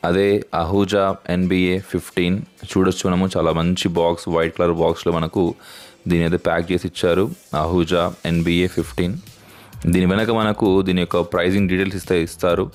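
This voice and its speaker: A man's voice: low-pitched, little pitch movement, fast. A man narrates a casual unboxing and demo as the host, explaining in a repetitive way and mixing in English terms. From India. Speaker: product review host.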